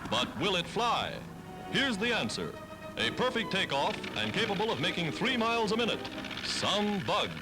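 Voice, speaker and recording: Man, American accent, 1940s newsreel narration, dramatic, lively swooping intonation, prominent music behind, vintage film soundtrack. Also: sits high, moderate pace. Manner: emphatic, dramatic announcer delivery.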